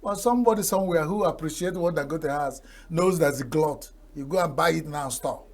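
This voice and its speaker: Man, older, Nigerian accent, Igbo native speaker, high voice, TV talk show guest, folksy, proverb-laden. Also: brisk. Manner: folksy, proverb-laden, laughs at his own jokes.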